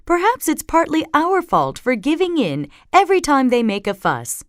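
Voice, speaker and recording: A woman voicing a prim, sensible mother bear in a storybook, measured and gently admonishing. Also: high-pitched, huge pitch swings, no room sound, studio quality, pitched above the performer's own voice. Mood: calm reasoning.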